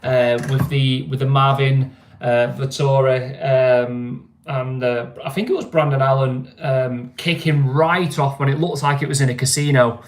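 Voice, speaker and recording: A man's voice: mid-range voice, fairly even intonation, unhurried, echoey room. A man with a Mancunian accent, chatting casually on a stream and often asking "you know what I mean". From England. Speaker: vlogger. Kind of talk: casual live-stream chat.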